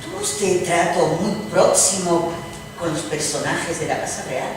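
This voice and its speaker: A woman's voice: fairly low pitch, a little slow, natural voice. A woman playing a polite, inquisitive English lady novelist in a dramatized reading, probing tentatively. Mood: polite, curious. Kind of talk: dramatized radio theatre reading. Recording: distant noisy reverberant hall.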